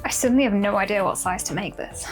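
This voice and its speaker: A woman's voice: medium pitch, fast talker. Casual woman vlogger over music. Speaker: costume-making vlogger. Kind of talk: casual chatty craft vlog with voice-over narration.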